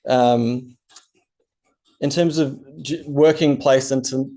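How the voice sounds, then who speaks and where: Gravelly, hesitant man writer. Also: mid-range voice, fairly even intonation, slow, mellow, low-quality recording. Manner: hesitant, many ums and restarts. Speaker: panelist writer. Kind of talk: panel discussion answer.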